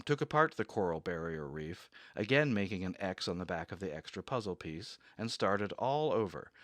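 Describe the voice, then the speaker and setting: Man narrating with a lively low voice. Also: dry recording. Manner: anxious, increasingly obsessive storytelling. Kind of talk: first-person narration in a radio drama.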